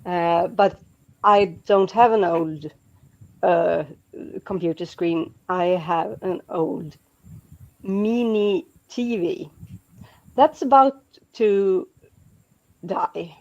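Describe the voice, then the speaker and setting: A woman's voice: lowish voice, drawn-out words, pauses often, muffled recording. A Swedish woman chatting casually in English as a second language, hesitant with many restarts and fillers. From Sweden. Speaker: guest. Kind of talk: casual chat.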